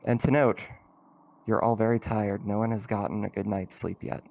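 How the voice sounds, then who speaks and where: A man narrating a tabletop roleplaying game as game master, in an informal, halting way. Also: lowish voice, steady tone, gravelly, darkish tone.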